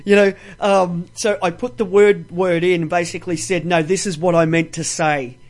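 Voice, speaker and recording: A man's voice: high-pitched, little pitch movement, moderate pace. A talkative man hosting a casual live talk show in a rambling, coarse-mouthed way. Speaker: show host.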